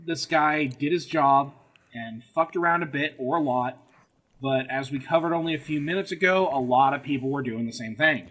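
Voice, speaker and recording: A man's voice: medium pitch, normal speed, fairly bright tone, breathy edge. A man hosting a casual comedy history podcast, explaining things in a wry, sweary way. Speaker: podcast host leading the episode.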